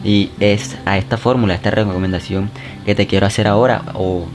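Male podcast host, low voice, talks straight to the listener, music underneath. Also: steady tone, a little fast. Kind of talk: self-help podcast monologue. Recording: music bed behind the voice.

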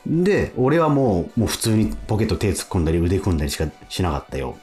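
A man co-hosting a radio show, telling anecdotes in a casual, animated storytelling way. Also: low voice, quick pace, faint music behind. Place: radio studio.

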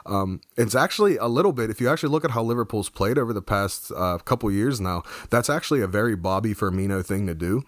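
A hoarse man co-hosting a casual football review, talking excitably and rambling with many restarts. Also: fairly low pitch, quick pace, hardly any pauses, no room sound, studio quality. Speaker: football podcast co-host. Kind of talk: casual match review chat.

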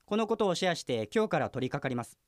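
A man's voice: on the high side, very animated, racing, no echo, studio quality. A man acting in a comic radio drama as a sarcastic, exasperated junior police detective, dutifully polite but slipping into insults. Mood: businesslike.

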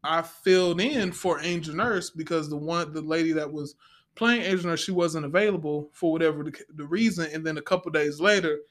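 A man speaking in a heartfelt, conversational way as a panel guest, earnest and reflective, often pausing to restate his phrases. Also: a little high, fairly bright tone. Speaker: stage play actor, panel guest.

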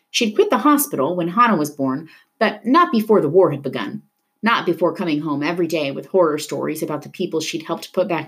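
Woman, lower-pitched voice, expressive audiobook-style narration, dramatic storytelling. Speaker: reader of a children's novel. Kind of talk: expressive read-aloud narration of a book.

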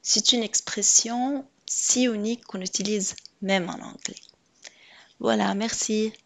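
A woman's voice: mid-range voice, fairly even intonation, slow, creaky. A woman giving a prepared personal message that sounds read out. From Canada.

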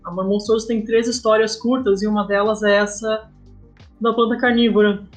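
A woman's voice: mid-range voice, flat delivery, moderate pace, faint music behind. A Brazilian woman raised in São Paulo, telling her own story informally as an interview guest. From southern Brazil-born, raised in São Paulo. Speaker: guest, comic artist and storyboarder. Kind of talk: casual interview, personal storytelling.

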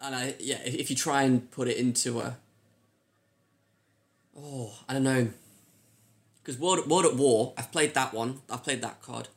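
A man with an average-pitched voice chats as co-host of a pop culture show. His delivery is informal and conversational, and he phrases his views tentatively. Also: a little slow, long pauses. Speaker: podcast co-host. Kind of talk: casual pop culture chat.

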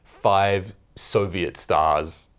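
A man's voice: deep voice, steady tone, drawn-out words, fairly bright tone, clean tone. A man hosting a casual chat in a hotel room, swearing and telling mock-exasperated jokes. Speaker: comedian host.

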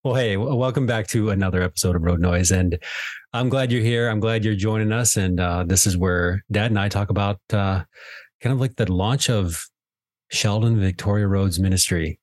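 A man's voice: fairly low pitch, normal speed, a little mellow, no echo. A man hosting a podcast, moving between a prepared introduction and casual conversation. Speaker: podcast host.

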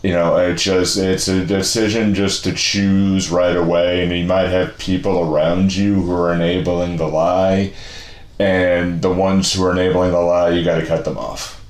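Deep-voiced guy hosting a chill chat, rambly and slangy, echoey room. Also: flat delivery, normal speed. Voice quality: low voice. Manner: laid-back, slangy, rambling with many fillers. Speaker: podcast host. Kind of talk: casual conversation.

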